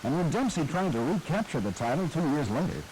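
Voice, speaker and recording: A man's voice: a little high, big pitch swings, a little fast, a little mellow, slight background noise, poor audio. A man narrating a documentary on old radio, introducing clips.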